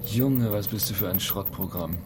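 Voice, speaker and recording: A man's voice: lowish voice, moderate pace, background noise, close to an everyday voice. An actor playing an impatient, sardonic man who talks curtly and needlingly to a computer in a radio play. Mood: scornful. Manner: curt, needling.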